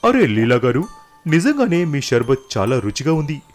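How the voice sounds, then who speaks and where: A man's voice: medium pitch, sing-song, normal speed, faint music behind, lightly acted. A man playing an enthusiastic male food vlogger in a cartoon story.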